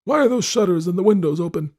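A man's voice: high voice, animated, fast talker, dark tone, breathy edge, voice pushed up, exaggerated voice. A man voicing a miserly, suspicious old lord in an audiobook, snarling, mocking and domineering. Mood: suspicious, scolding. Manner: snarling, grumbling, mocking, nagging. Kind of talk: audiobook character voice.

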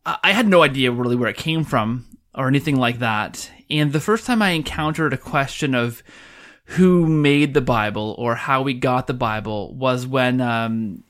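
A man's voice: medium pitch, a bit bright. A man hosting a podcast in a conversational, enthusiastic way, introducing and interviewing. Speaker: podcast host.

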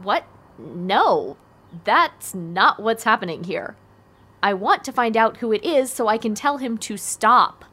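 Audio drama: unsentimental teenage girl, blunt, dry, matter-of-fact delivery, pitched a little high. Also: expressive, unhurried, pushed and bright, exaggerated voice. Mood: embarrassed annoyance. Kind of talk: naturalistic audio drama acting.

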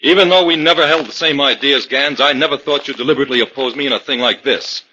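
An actor playing an ambitious, combative younger scientist in a 1940s radio drama. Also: male voice, medium pitch, fast talker, sharp tone, husky. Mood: bitter, angry. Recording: dull 1940s radio recording.

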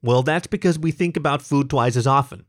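A man's voice: mid-range voice, a little fast, voice pushed up, lightly acted. A man voicing a nervous, lovestruck dog comedian from a story, with a self-deprecating, stammering, joking delivery. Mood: performing a joke.

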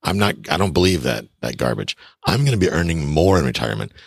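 A man's voice: rumbling low, very animated, fast talker, creaky, slightly rough, no echo, clean studio sound. A man in his fifties hosting a podcast, giving blunt, chatty advice on real estate and taxes straight to his listeners. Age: 50s. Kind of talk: casual advice monologue.

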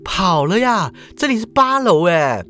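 A woman's voice: mid-range voice, big pitch swings, a bit bright, pitched above the performer's own voice. A man voicing a feisty, sulky young woman who whines and argues while caught in danger. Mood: exasperated. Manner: whiny, pouting, quick to argue.

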